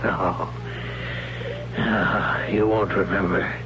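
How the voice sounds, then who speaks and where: A solemn mentor to young warriors in a 1970s radio drama. The voice is lowish and very slow, deliberate and probing. The old broadcast sound is dulled. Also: male voice, steady tone. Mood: grave. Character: grave male mentor of warriors, named Death. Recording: dull, noisy old radio recording.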